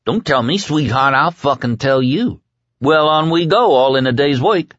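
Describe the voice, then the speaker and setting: A young hothead in an audiobook, his pitch thrown far up and swinging wildly. He swears, brags and bullies with explosive temper. The sound is dry and close. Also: animated, moderate pace, a bit bright, dry recording, lo-fi sound. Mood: smug, menacing. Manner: screaming, cursing, boastful and patronizing. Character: manic, foul-mouthed young man, swaggering, explosively bad-tempered bully.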